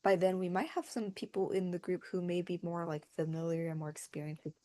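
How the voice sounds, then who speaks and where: Woman w a low voice, casual podcast talk, kinda hedging and thoughtful, dry close sound. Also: steady tone, a little fast, warm tone, dry recording. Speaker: fandub team member on podcast.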